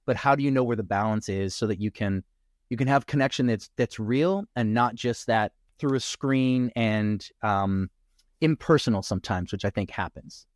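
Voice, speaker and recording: A man who works as a coach, speaking as a guest in a casual podcast conversation in a reflective, measured way. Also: on the low side, dry recording, clean studio sound.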